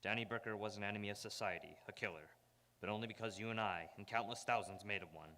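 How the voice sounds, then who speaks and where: A man's voice: on the low side, fast, sharp tone. A man playing a grave, ominous crime-fighting avenger, declaiming solemnly in a live radio drama. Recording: long hall reverb on voice.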